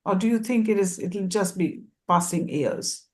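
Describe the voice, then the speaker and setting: A woman's voice: mid-range voice, unhurried, a little mellow. A woman moderating a panel interview, asking long rambling questions with repeated words.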